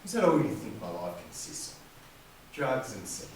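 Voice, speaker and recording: Man acting indignant younger brother, lively, echoey. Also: mid-range voice, normal speed. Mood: defensive, sarcastic. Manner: indignant, sarcastic retorts. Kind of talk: amateur stage play acting. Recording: echoey room from far off, faint noise.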